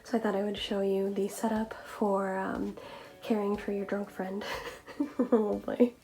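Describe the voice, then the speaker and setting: A woman with a middle-pitched voice talks casually to her viewers as a video creator. She explains things in an informal, conversational way, in the style of a behind-the-scenes vlog. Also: little pitch movement, a little slow, dark tone. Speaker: ASMR video creator and vlogger.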